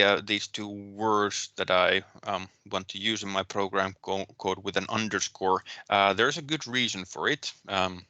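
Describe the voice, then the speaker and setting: Man, Finnish accent, lecturer, slow delivery, bright dry close sound, frequent ums. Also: fairly low pitch, clean tone, no echo. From Finland. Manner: hesitant, many ums and restarts. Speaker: university lecturer. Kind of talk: recorded programming lecture with screen share.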